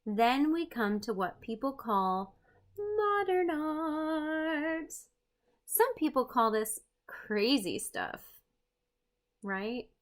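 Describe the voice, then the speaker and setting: A woman hosting an art read-aloud, expressive and playful, with chatty, self-deprecating asides to her viewers. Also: sits high, very slow. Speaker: host, art teacher reading a picture book aloud.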